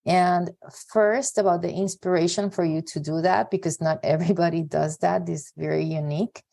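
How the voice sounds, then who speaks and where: A woman's voice: fairly low pitch, steady tone, normal speed, gravelly, mellow. A woman hosting a podcast interview, speaking conversationally in long, meandering sentences. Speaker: podcast host.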